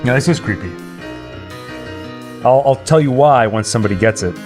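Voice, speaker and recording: A man's voice: mid-range voice, animated, normal speed, a little mellow. A man hosting a casual livestream, chatting and speaking directly to viewers. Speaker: livestream host. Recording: music playing behind the voice. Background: game and tune music.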